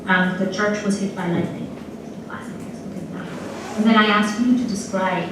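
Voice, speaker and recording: A woman's voice: mid-range voice, fairly even intonation, normal speed. A woman from Switzerland with a Swiss German accent, a native Swiss German speaker, patiently explaining ideas step by step in an interview. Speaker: linguistics professor being interviewed. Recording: played-back video in an echoey room, distant, noisy.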